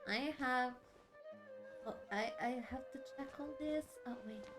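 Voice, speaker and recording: Female game streamer, slightly high voice, rambling and giggly casual commentary over faint music. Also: a little slow. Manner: giggly, rambling, repeats herself. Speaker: livestreamer. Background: game music and dialogue.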